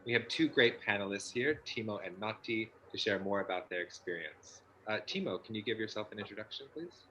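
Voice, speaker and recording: A man's voice: on the low side, expressive, brisk, few pauses, bright, forceful tone, lo-fi sound, a little hiss or hum. A man with an upbeat, enthusiastic manner, hosting an online panel discussion over a video call. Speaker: host, organization founder.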